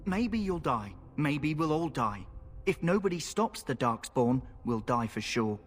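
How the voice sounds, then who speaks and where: An actor playing a cynical, roguish recruit in a fantasy game, with a blunt, taunting manner. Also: male voice, a little high, big pitch swings, unhurried, slightly rough, heavily acted. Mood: mocking, grim. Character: cynical roguish male recruit, mocking and fatalistic. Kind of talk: dramatic fantasy game acting. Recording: echoing hall, music behind.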